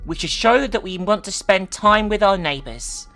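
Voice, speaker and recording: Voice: mid-range voice, lively intonation, moderate pace, sharp tone, faint music behind. A narrator voicing a firm, no-nonsense mother who speaks sternly and insistently.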